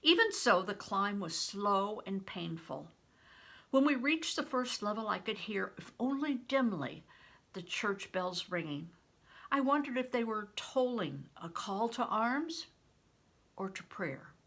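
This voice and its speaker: An older woman, a grandmother, reading a storybook aloud in a deliberate, phrase-by-phrase way. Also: medium pitch, very animated, fairly bright tone. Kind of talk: storybook narration with plain spoken asides.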